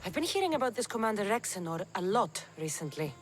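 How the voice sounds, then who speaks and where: Woman playing a firm mercenary, expressive voice. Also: medium pitch, quick pace, faint music behind, close to an everyday voice. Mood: insistent. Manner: firm, direct, confident. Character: bold, commanding, determined female mercenary adventurer. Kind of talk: video game cutscene acting.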